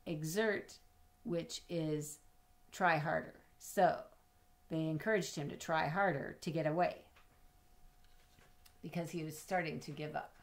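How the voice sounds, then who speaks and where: A middle-aged woman with a slightly low voice reads a children's story aloud. She is expressive and teacherly, moving between storybook narration and simple questions or explanations for young listeners. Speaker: storyteller reading a picture book. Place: small indoor home room with bookshelves.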